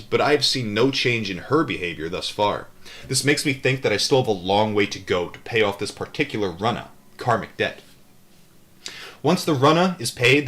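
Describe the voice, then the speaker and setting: A man's voice: mid-range voice, fairly bright tone. A man hosting a live read-aloud, moving between reading a book and casual, irreverent, rambling asides. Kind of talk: audiobook-style reading with casual off-the-cuff asides.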